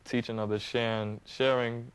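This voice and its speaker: A man in his twenties from Harlem, answering interview questions hesitantly, with many pauses and fillers. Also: medium pitch, flat delivery, clean tone, poor audio. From Harlem, New York. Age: 20s. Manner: hesitant, many ums and you-knows, soft-spoken. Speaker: guest, young entrepreneur.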